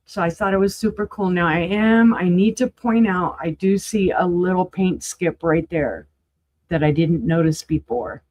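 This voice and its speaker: A woman running a live auction sale, chatty and enthusiastic, with a voice that is going hoarse. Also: on the low side, normal speed, a little mellow. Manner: chatty, enthusiastic, rambling asides. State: losing her voice, clearing throat.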